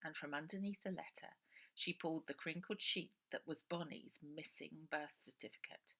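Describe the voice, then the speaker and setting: A woman reading her novel aloud as dramatic, expressive and suspenseful storybook narration. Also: deep voice, moderate pace. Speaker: author reading own novel.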